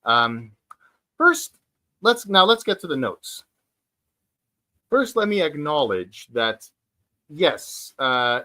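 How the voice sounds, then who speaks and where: A man of forty hosting a casual livestream chat from home, speaking hesitantly with many fillers and restarts and pausing to read out viewer comments. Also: medium pitch, pushed and bright. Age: 40s. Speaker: livestream host. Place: at home, sitting on the floor.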